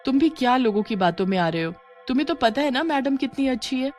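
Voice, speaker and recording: A young schoolgirl, voiced by a woman, pitched high with an earnest, questioning manner. She belongs to a horror storybook, with faint music behind her. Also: lively intonation, quick pace, a little mellow. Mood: uneasy. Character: young schoolgirl, puzzled and uneasy.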